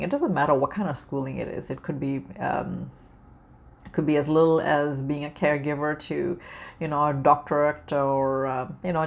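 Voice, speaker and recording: A woman with a very low, deep voice and a gravelly creak, speaking with a Canadian accent touched by a British-Australian undertone. She rambles through a casual spiritual talk, full of "you know" and restarts. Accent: Canadian with a slight British-Australian undertone. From born outside Canada, raised in Canada. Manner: rambling, many fillers and restarts. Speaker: spiritual vlogger.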